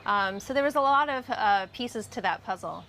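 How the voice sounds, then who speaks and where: A woman's voice: mid-range voice, expressive, normal speed, sharp tone. A woman giving casual, explanatory answers as an interviewee. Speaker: filmmaker being interviewed. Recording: noticeable background noise.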